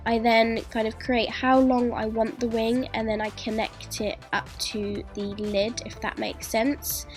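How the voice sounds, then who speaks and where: A woman's voice: fairly high pitch, darkish tone. A young woman, stuffed up from a cold, chattily talking through a makeup tutorial step by step. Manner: chatty, friendly, step-by-step explaining. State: congested, says she is sick. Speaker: beauty vlogger. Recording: voice-over with clear music behind.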